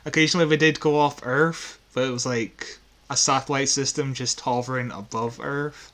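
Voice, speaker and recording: A man's voice: medium pitch, steady tone, normal speed, a bit bright. A man chatting casually as a podcast co-host, often chuckling as he talks. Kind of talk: casual chat reviewing audio dramas.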